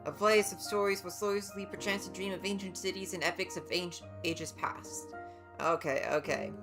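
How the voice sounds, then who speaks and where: A woman's voice: fairly low pitch, fairly even intonation, normal speed. A woman livestreamer giving casual gameplay commentary, chatty and musing aloud. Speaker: game livestreamer. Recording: game music behind.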